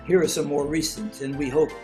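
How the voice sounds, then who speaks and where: A man serving as master of ceremonies, giving genial, announcer-like scripted introductions over a video call. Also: a little high.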